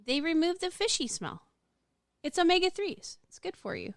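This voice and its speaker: A woman's voice: very high voice, big pitch swings, normal speed, no room sound, studio quality. A woman co-hosting a podcast in casual conversation, playful and full of questions. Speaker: podcast co-host. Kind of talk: casual chat.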